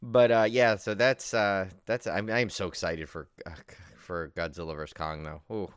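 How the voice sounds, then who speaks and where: American guy podcast cohost, lowish smooth voice, rambles excitedly, very dry close sound. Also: no echo. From New York area, United States. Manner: rambling, enthusiastic, halting and circling back. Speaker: podcast co-host. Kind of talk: casual chat about horror movies.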